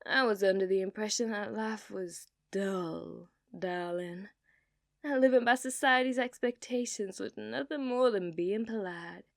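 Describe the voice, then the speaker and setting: A woman voicing an obsessive, dominant outlaw cowgirl in a put-on Southern accent, for an ASMR roleplay, teasing and dropping into soft whispers. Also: mid-range voice, unhurried, gravelly, no room sound, studio quality. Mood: menacing. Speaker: ASMR performer.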